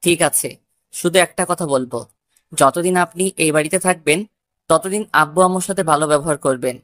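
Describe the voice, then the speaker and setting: A woman's voice: sits low, normal speed, no room sound, studio quality. A woman narrating a scripted first-person love story.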